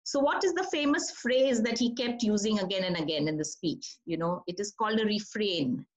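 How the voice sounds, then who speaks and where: A woman teaches a writing session over a call. She speaks in a relaxed, conversational way and often stops to ask the group questions. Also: mid-range voice, fairly quick, low-quality recording. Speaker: writing workshop leader. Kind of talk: online workshop presentation.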